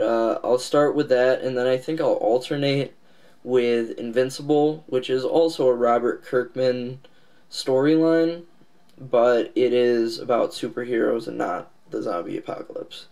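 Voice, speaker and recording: A congested man with a nasal voice, getting over a cold, giving a casual, halting update to his viewers. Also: mid-range voice, fairly even intonation, creaky, darkish tone. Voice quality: nasal, stuffed-up. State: congested, recovering from a cold and lost voice. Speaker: comics channel host.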